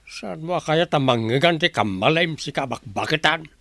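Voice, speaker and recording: A man's voice: on the high side, lively intonation, moderate pace, vocal fry, sharp tone, dry recording, exaggerated voice. A man playing a grumpy, bickering old husband in an audio drama.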